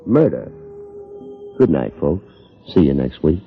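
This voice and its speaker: A hard-boiled American private eye, wisecracks with a lively, swinging pitch and unflappable wit. It has the sound of a fifties radio drama, dull and lo-fi. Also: male voice, slow, vocal fry, loud music behind the voice, exaggerated voice. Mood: wry narration. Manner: wisecracking, deadpan. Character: wry, hard-boiled male private detective, unflappable and quick-witted. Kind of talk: 1950s American radio detective acting. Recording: dull lo-fi old radio recording.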